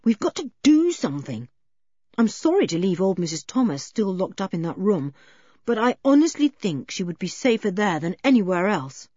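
A woman's voice: mid-range voice, very animated, moderate pace, mellow, breathy edge, dry recording, lo-fi sound. A woman voicing a cool, determined and responsible boy in an audiobook, earnest and decisive. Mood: resolute. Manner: earnest, decisive, plain-spoken. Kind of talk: audiobook character voice.

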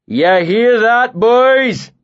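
A man voicing a loud, swaggering, bearded male mercenary who bullies with a thick northern accent, drawing out his taunts. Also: a little high, dry recording, highs cut off, voice pushed up, close to an everyday voice. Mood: jeering. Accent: northern English dialect, put on. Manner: mocking, swaggering, drawn-out.

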